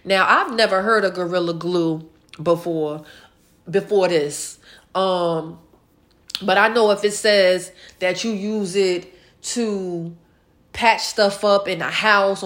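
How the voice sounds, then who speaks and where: A woman's voice: medium pitch, fairly even intonation, slow, a bit bright. A woman in her late thirties hosting a casual online chat show, speaking bluntly and repeating phrases for emphasis. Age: late 30s, turning forty. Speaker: host of a live online show. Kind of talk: casual celebrity gossip and personal chat to followers.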